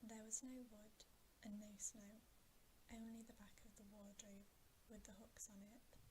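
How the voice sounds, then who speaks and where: A woman softly whispering an ASMR bedtime story reading close to the microphone. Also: medium pitch, level tone, noisy background. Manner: soft whispering, slow and deliberate. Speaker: ASMR reader and channel host.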